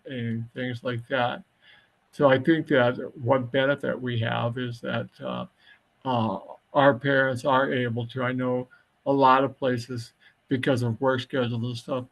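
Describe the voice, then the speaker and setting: Guy counselor talking on a video call, lots of uh's, middle-pitched voice. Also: rough recording. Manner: halting, many "uh" fillers. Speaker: high school counselor. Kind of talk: panel testimony at a web briefing.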